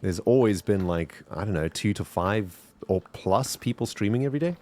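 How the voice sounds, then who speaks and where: A man's voice: fairly low pitch, lively intonation, moderate pace, darkish tone, a little hiss or hum. A man with a South African accent chatting casually to his viewers while streaming a game, rambling and addressing chat directly. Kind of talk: casual gameplay commentary.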